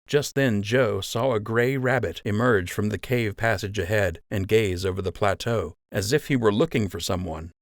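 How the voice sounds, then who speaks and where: A man's voice: lowish voice, moderate pace, few pauses, dry recording, clean studio sound. A man reading a children's adventure audiobook as scripted storytelling narration.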